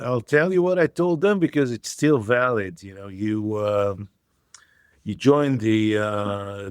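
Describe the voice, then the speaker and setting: A man in his fifties, born in Israel, speaks as a founder on an interview podcast. His voice sits low and comes across dry and close. He often stops to hunt for a word and backs up to start a phrase over. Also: a little mellow, no room sound. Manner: hesitant, many fillers and restarts.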